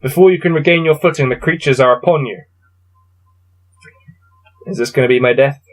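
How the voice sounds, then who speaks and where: A man reading a gamebook aloud and adding low-key, wry muttered commentary as he plays. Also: brisk, soft background music, rough recording. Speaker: solo gamebook player and reader.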